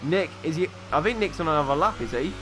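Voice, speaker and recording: A man commentating a sim racing qualifying session, calling laps quickly and excitedly, with offhand asides. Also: fairly high pitch, a little hiss or hum. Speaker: race commentator.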